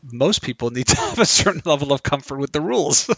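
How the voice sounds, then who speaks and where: A man hosts a conversational interview in a mid-pitched voice with a gravelly creak. His tone is bright and forward, and he phrases questions reflectively, in a very dry recording. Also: animated, fast, dry recording. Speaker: interviewer, host.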